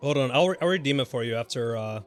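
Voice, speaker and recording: A man live-streaming a game with casual, exclamatory commentary, reacting out loud and swearing freely. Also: medium pitch, animated, quick pace, soft background music.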